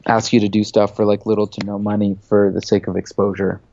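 A man's voice: lowish voice, level tone, fairly quick, warm tone, lo-fi sound, a little hiss or hum. A man in his thirties, a filmmaker and podcast guest, talking in a loose, rambling way full of fillers. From United States. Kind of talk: casual interview.

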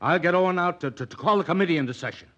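An actor playing an earnest, proud, idealistic doctor in an impassioned, articulate old radio drama style. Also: male voice, fairly high pitch, rapid-fire, a bit bright, close to an everyday voice. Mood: indignant. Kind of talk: 1940s radio acting. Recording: dull old radio recording.

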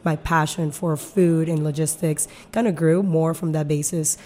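Low-voiced woman, earnest explainer. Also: fairly even intonation, normal speed, mellow. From Peru. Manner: earnest, explains at length with many fillers. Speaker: guest, company founder. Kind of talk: podcast interview.

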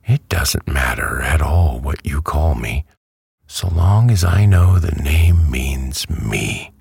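A man reading a storybook who voices a prim, easily offended female yellow hen. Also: rumbling low, animated, takes it slowly, gravelly, slightly rough, no echo, studio quality, heavily acted. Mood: indignant.

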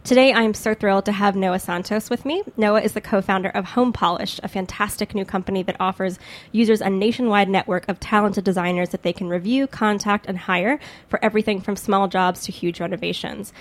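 A woman's voice: mid-range voice, steady tone, brisk. Enthusiastic American woman hosting a podcast. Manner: enthusiastic, chatty. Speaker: podcast host.

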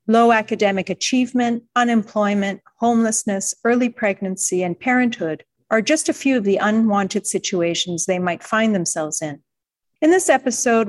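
Woman, podcast host, formal scripted read, light music bed. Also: mid-range voice. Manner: measured, formal reading. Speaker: podcast host, privacy commissioner.

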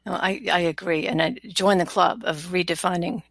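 Creaky-voiced older woman interviewing. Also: lowish voice, steady tone, moderate pace, fairly bright tone, no echo, studio quality. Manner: tentative, rephrases questions mid-sentence. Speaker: podcast host, interviewer. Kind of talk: conversational interviewing.

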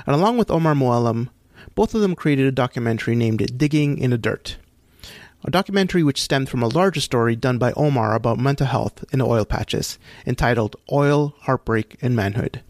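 Low-voiced man narrating a podcast. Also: animated, moderate pace, darkish tone, no room sound, studio quality. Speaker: podcast host.

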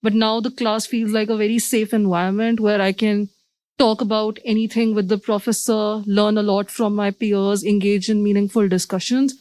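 A woman's voice: mid-range voice, level tone, moderate pace, a little mellow, no echo, low-quality recording. A woman from India, speaking in a composed, articulate way as a panelist. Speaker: MBA student panelist. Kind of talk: panel discussion.

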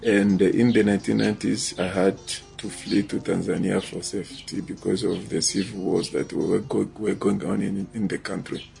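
A man in his forties from Eastern Congo, telling his own story in an interview with halting pauses and restarts. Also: deep voice, little pitch movement, creaky, darkish tone, soft background music. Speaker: social entrepreneur. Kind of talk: personal account in interview.

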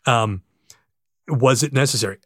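Male, 50s, American. Casual podcast guest, animated storyteller with stammered starts, mid-pitched, recorded close and dry. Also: unhurried, no room sound. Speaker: podcast guest, sports arena announcer. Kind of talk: casual anecdotal interview chat. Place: in a room with the hosts.

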